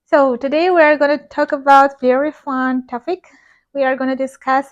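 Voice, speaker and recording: A woman's voice: sits high, lo-fi sound. A woman, an English speaker and teacher, chatting frankly to camera with frequent fillers. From Armenia. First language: Armenian. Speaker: vlogger and ESL teacher. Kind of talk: casual talk to camera.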